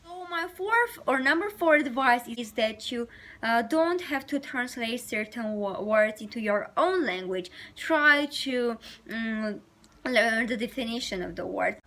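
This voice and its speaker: A woman's voice: a little high, lively intonation, unhurried, a bit bright. A woman whose first language is Armenian, giving study advice to camera in earnest, hesitant non-native English. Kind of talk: talking to camera, advice vlog.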